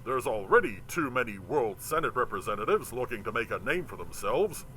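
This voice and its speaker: A man's voice: medium pitch, expressive, a little fast, pushed and bright, a little hiss or hum. Man playing a stern, curt military colonel. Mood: curt, pressured. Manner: snappish, official. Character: stern, curt male colonel under political pressure.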